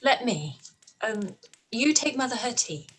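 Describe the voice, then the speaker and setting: Woman playing an earnest young lady, high and husky. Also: big pitch swings, unhurried, a bit bright, heavily acted. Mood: concerned. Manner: concerned, questioning. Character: caring, earnest young gentlewoman, a devoted daughter. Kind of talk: radio play live read.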